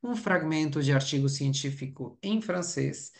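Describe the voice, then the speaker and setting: A man's voice: a little high, animated, normal speed, vocal fry. Man, formal and hesitant. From Uruguay. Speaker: academic researcher presenting at a conference. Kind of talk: academic conference presentation.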